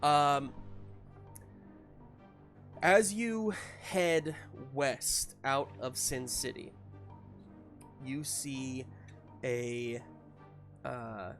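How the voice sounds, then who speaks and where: Slow-talking man running a tabletop game. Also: mid-range voice, a bit bright, faint music behind. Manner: hesitant, improvising as they go. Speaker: game master. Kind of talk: casual improvised game narration.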